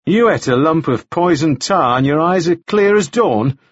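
Audiobook role: forceful, suspicious man acted by a man, scornful, lively pitch, husky edge. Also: moderate pace, dry recording, dull audio. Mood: exasperated. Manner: pressing, scornful questioning. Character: gruff, suspicious, exasperated man, forceful and accusing. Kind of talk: audiobook character acting.

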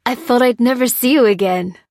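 Woman voicing an excited male army major, emphatic. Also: fairly high pitch, normal speed, no room sound, studio quality.

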